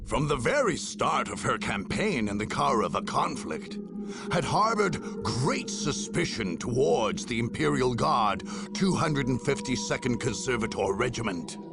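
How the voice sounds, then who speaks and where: An actor playing a grave, grandiose male war chronicler, narrating a game story in a theatrical, deliberate way. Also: medium pitch, big pitch swings, over loud music. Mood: grave, righteous. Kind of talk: dramatic scripted game narration.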